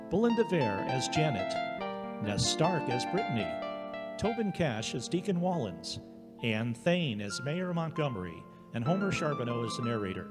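A man narrating a scripted audio drama. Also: mid-range voice. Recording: echoey reverb, loud guitar music behind. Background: guitar music.

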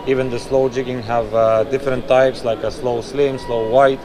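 A man in his thirties walks a big indoor expo hall and talks into a chest-mounted camera, his mid-pitched voice full of "uh"s and restarts. Steady air-conditioning hum and hall noise sit clearly under his voice. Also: moderate pace. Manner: filler-heavy, frequent "uh" and restarts. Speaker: fishing channel host. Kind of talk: walkaround expo review. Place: large indoor exhibition hall. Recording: noisy expo hall ambience. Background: hall ambience and air conditioning hum.

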